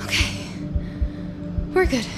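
A woman's voice: takes it slowly, over loud music, natural voice. An actress playing an anxious, evasive female office worker who hesitates and stammers defensively in an audio drama. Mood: panicked. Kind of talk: naturalistic audio drama acting.

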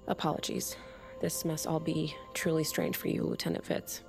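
An actress playing a defiant, cynical leader of an underground separatist movement in an audio drama. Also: female voice, sits low, flat delivery, moderate pace, creaky, a little mellow, faint music behind. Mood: earnest, ideological.

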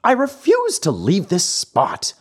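A woman voicing a wise old male cricket who lectures in a calm, breathy voice. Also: mid-range voice, slow pace, pitched above the performer's own voice, full character voice. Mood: solemn.

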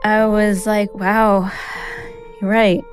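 A woman's voice: mid-range voice, level tone, slow pace, soft background music. An American woman whose first language is Mandarin, telling a personal story in an informal, conversational way. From United States. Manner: informal, sprinkled with "like" and "you know".

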